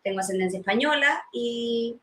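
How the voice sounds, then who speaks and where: A woman's voice: fairly high pitch, normal speed, fairly bright tone. A woman from Cuba, speaking conversationally as an interview guest. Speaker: interview guest, dance teacher. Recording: echoey room with faint noise.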